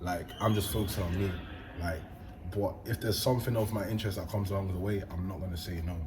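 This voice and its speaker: A young man, hosting a relaxed chat in slangy talk. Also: fast, background noise. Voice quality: deep voice. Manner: laid-back slangy talk, animated bursts. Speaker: host. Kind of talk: casual interview chat.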